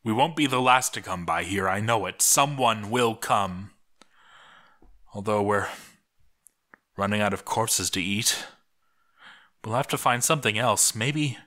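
Guy doing a doomed dwarf reading his journal, bitter n sweary, cracking up, sharp forceful voice. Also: fairly low pitch. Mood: broken, desperate. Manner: sweary, sardonic, dramatic. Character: doomed male dwarf expedition diarist, bitter, cracking into madness.

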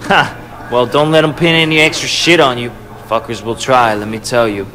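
A man's voice: medium pitch, fairly quick, pushed and bright, natural voice. A man playing a young, brash, slangy small-time gangster in a video game cutscene. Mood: brash, chummy. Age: young adult. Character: chatty streetwise small-time Hong Kong gangster in jail. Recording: reverberant concrete room, game cutscene.

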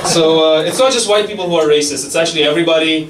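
A young man does stand-up with a handheld mic, his voice sitting a little high. He lays out his bits in a deadpan, mock-authoritative way. The sound is roomy and distant, with heavy audience laughter around him. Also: a loud crowd. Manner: deadpan mock-authoritative delivery, quick asides. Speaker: stand-up comedian. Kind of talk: stand-up comedy set. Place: comedy club stage, audience laughing. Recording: echoey club from far off, loud audience laughter.

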